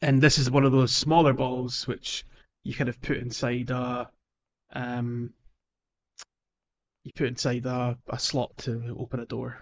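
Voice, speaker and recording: A man hosts a relaxed gaming podcast with a mid-pitched voice. He talks casually and banters teasingly with his co-hosts. The sound is a little dull and lo-fi. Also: a little slow. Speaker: podcast host.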